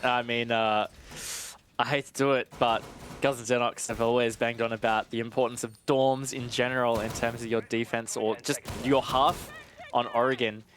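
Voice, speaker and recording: A man's voice: mid-range voice, level tone, normal speed, a bit bright, slight background noise. A man giving analytical live esports commentary in a conversational way with casual asides.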